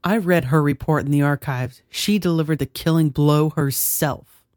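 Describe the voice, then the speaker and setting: A man voicing a tall, bitter young woman in a soft, tense, resentful voice. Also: a little high, moderate pace, no echo, clean studio sound, pitched above the performer's own voice, lightly acted.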